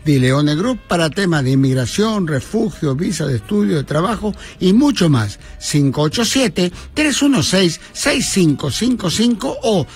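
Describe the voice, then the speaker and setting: Gravelly radio host. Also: male voice, sits high, animated, faint music behind. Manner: friendly, halting, many fillers. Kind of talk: community radio talk show with read ads. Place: studio.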